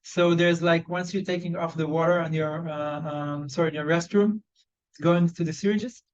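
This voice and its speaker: A man with an Israeli accent and a fairly high voice runs an online job search training. He speaks loosely, full of ums and self-corrections. The video call audio is slightly dull. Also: steady tone, normal speed. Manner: loose, conversational, lots of fillers and restarts. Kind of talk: live online training session.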